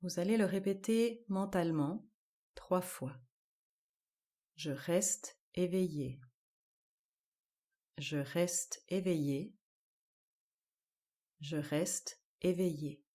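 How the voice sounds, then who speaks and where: A woman leads a guided relaxation meditation in a lowish, soft-edged and mellow voice. She speaks very slowly and calmly, placing each short instruction with measured care and leaving space between phrases. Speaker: yoga nidra guide.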